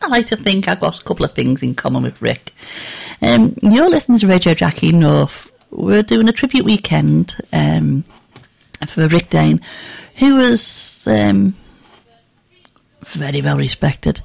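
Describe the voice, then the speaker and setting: A woman's voice: lowish voice, big pitch swings, unhurried, vocal fry, a little mellow, breathy edge. A middle-aged woman presenting on the radio in a chatty, rambling way, with a scratchy voice and plenty of ums.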